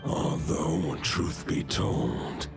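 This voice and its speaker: A man voicing an ancient, solemn male wolf god spirit, speaking slowly in a hushed, gravelly, archaic way. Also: a bass voice, heavily acted. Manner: slow archaic solemn speech. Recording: game audio with music behind.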